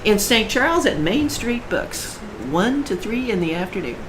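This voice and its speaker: A woman's voice: medium pitch, very animated, normal speed. Talkative woman author, echoey room. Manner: talkative, explains at length. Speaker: author guest. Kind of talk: casual panel chat. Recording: roomy with faint hiss.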